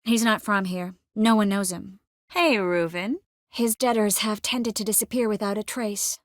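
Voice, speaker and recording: A caring, quick-witted sorceress from a fantasy video game, acted by a woman, speaks at a medium pitch in a dry, close studio sound. Her delivery is earnest and expressive, with clearly articulated words and a self-assured air. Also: dry recording, close to an everyday voice. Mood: brisk, matter-of-fact. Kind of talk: scripted fantasy game dialogue.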